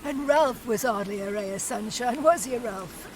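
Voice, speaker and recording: An actress playing a brash, chatty, jokey older working-class wife in an audio drama. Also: female voice, a little high, normal speed, lightly acted. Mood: brash, friendly. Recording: noisy outdoor street ambience.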